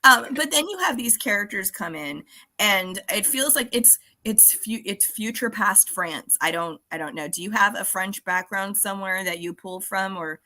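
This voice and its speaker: A middle-aged woman co-hosting a relaxed chat, her voice bright and tense at the edges. She talks in a chatty, joking way, tossing off quick asides at a mid pitch. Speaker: podcast co-host. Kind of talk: casual interview chat.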